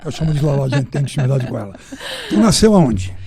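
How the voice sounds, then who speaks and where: A man's voice: medium pitch, sing-song, fast, crowd noise. A middle-aged man hosting a sports and training podcast, interviewing a guest in a familiar, informal way.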